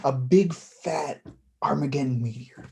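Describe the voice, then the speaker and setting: A woman's voice: a bass voice, measured pace, gravelly, warm tone, full character voice. A woman acting a mild, wistful, evasive young man in a staged play reading, in a casual, hesitant way. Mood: musing.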